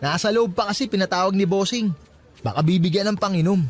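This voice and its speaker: A man's voice: high voice, quick pace, soft background music, close to an everyday voice. A man with a Filipino accent playing an easygoing young gas station worker who ribs his friends in slangy radio drama talk. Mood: playful ribbing. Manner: slangy, ribbing.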